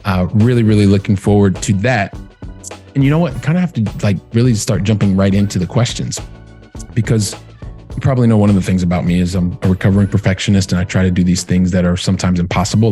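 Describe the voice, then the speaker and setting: Male podcast host, lowish voice, upbeat, repeats words, faint music bed. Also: mellow. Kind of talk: casual interview hosting.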